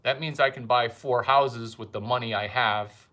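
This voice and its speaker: A man doing a rambling comic monologue, provocative and fond of repeating his catchphrases with drawn-out emphasis. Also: medium pitch, fairly even intonation, pushed and bright. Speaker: comedian host.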